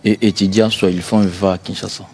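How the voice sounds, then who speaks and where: A man's voice: deep voice, flat delivery, quick pace, darkish tone, husky, big-room sound. A young man from South Kivu, earnestly explaining his student association as a guest. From South Kivu, DR Congo. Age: young adult. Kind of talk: guest interview.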